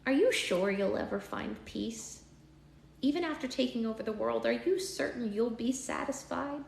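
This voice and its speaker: A woman voicing a hesitant, tactful male storybook henchman who questions gently and carefully. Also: a little high, normal speed, gravelly, voice pushed up. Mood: cautious. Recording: roomy echo, faint background noise.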